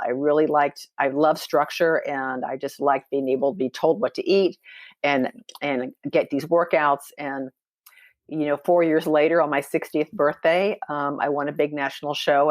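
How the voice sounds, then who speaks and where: A woman's voice: deep voice, fairly even intonation, normal speed, no echo. A woman in her sixties, a podcast guest, telling her story in a chatty, upbeat way with lots of fillers and restarts. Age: 60s. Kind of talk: casual storytelling interview.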